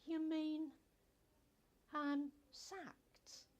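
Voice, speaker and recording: A woman's voice: high voice, flat delivery, extremely slow, voice pushed up. Woman acting emotional, spirited young female clerk. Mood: shocked. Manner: emotional, emphatic.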